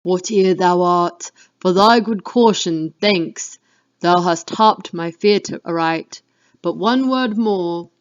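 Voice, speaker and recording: A woman's voice: on the low side, slow pace. A woman reading the part of Macbeth, a fearful, defiant king, in a declamatory Shakespeare dramatic reading. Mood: wary, resolved. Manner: declamatory, exclamatory. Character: Macbeth, male Scottish thane turned king, fearful and defiant. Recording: dull lo-fi home recording.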